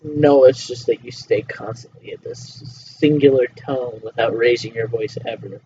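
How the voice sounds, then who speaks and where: A young man hosting a casual podcast with a friend, joking around and breaking into loud shouts and laughter. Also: medium pitch, moderate pace. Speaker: podcast host. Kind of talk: casual chat. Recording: dull lo-fi home recording with faint hiss.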